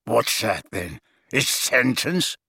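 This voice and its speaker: A man's voice: medium pitch, very animated, takes it slowly, creaky, sharp tone, husky, no echo, full character voice. Guy doing a nasty old wizard dad, contemptuous bellowing and jeering, audiobook villain, really dry sound. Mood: angry, scornful. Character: vicious, sneering, bullying old wizard father. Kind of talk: dramatised audiobook character voice.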